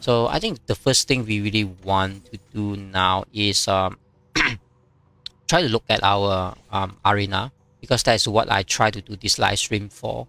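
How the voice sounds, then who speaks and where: A man whose first language is Chinese, streaming a strategy game and chatting casually, with many fillers and pauses as he reads viewer comments. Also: fairly low pitch, vocal fry, bright, forceful tone, dry recording. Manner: halting, many fillers, reads chat aloud.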